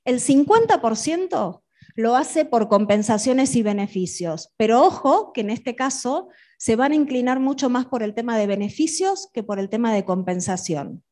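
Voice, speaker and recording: A woman's voice: a little high, expressive, moderate pace, warm tone. A middle-aged woman, presenting a business talk to an audience in a didactic, questioning way. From Argentina. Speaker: conference speaker.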